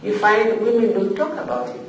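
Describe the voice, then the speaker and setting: A Tanzanian woman speaks at a forum, some way from the microphone in a very reverberant room with faint noise. She talks semi-formally and without a script, pausing and repeating herself to drive points home. Her delivery is halting but emphatic. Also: mid-range voice, big pitch swings. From Zanzibar, Tanzania. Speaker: forum panelist, African regional official. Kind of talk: semi-formal extemporaneous panel remarks. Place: echoey indoor forum room. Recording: echoey room, distant mic, faint noise.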